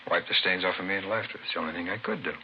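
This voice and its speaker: A man with an American accent playing a weary, aggrieved killer confessing to police in a halting mumble, in the style of 1950s radio crime drama. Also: low voice. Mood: haunted, defensive. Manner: halting, mumbling, self-justifying. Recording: muffled, hissy vintage radio broadcast recording.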